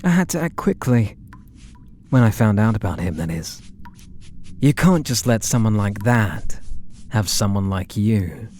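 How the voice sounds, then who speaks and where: A British man voicing an obsessed, possessive artist and menacingly tender lover in a breathy, theatrical ASMR roleplay, drifting into whispers. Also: lowish voice, lively intonation, unhurried, long pauses, darkish tone, a little hiss or hum. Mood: possessive, contemptuous. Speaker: audio roleplay voice actor.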